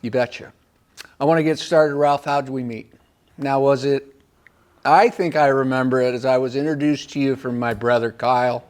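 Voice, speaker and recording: Give me a male podcast host asking questions in a friendly, folksy manner at a middling pitch. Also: fairly even intonation, moderate pace. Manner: folksy and friendly, short interjections. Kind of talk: casual interview chat.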